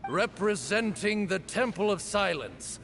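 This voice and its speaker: An actor playing a solemn master of ceremonies who presides over a ritual duel in a video game, formal and measured. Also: male voice, high voice, very animated, a bit bright, soft background music, heavily acted.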